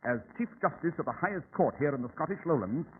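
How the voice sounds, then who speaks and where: A man's voice: on the high side, expressive, quick pace, lightly acted. A man playing a shrewd, erudite detective with precise, formal delivery in an old-time radio drama. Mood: grave, didactic. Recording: tinny old radio recording with noise.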